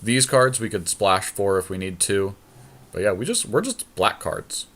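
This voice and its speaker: A man's voice: fairly low pitch, lively intonation, moderate pace, fairly bright tone. A man co-hosting a podcast, chatting casually and thinking aloud as he weighs choices in a card game draft. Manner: thinks aloud, hedging and deliberating. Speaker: podcast co-host.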